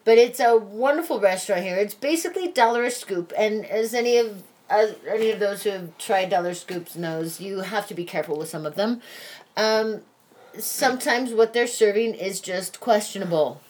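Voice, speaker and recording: A woman in her forties hosting a casual podcast, chatty and quick to laugh, with a slightly irritated edge. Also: medium pitch, darkish tone. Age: 40s. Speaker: podcast host.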